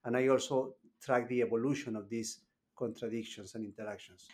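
A man's voice: medium pitch, fairly even intonation, brisk, darkish tone. A man, a graduate student guest, speaking hesitantly and often repeating words as he searches for phrasing. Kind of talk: conversational interview.

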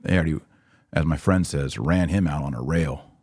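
Male podcast host, low and a touch rough, dry sound, emphatic commentator fielding viewer questions. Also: normal speed, darkish tone, dry recording. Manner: emphatic, repeats short phrases for stress.

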